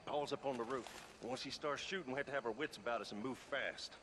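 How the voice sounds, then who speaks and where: An actor playing a wary outlaw in a western video game, quietly and tersely planning an ambush. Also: male voice, medium pitch, quick pace, lightly acted. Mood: wary, conspiratorial. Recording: clear noise, outdoor ambience.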